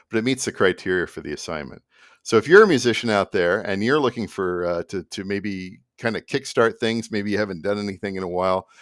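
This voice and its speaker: A middle-aged man with a notably deep voice chats informally to the camera. He drifts between asides and restarts in a halting, unscripted explainer style. The sound is dry, with very little room echo. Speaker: musician and vlogger. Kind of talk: casual rambling explainer to camera. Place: small indoor room at a desk.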